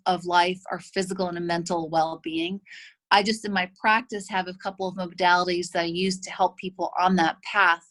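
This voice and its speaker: A woman chiropractor presents to a group in an easy, conversational way. She acts out what her patients say and often stops to ask "make sense?" Her pitch sits in the middle range for a woman. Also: fairly bright tone. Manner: acts out patients' remarks in quotes. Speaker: chiropractor and health practice owner. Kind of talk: presentation to an association.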